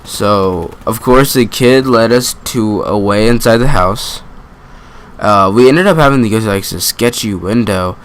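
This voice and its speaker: A man's voice: on the low side, measured pace, clean tone. A young man telling a story in a casual, slangy, profane style, acting out asides and joking at his own expense. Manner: animated retelling with quoted asides and self-deprecating jokes.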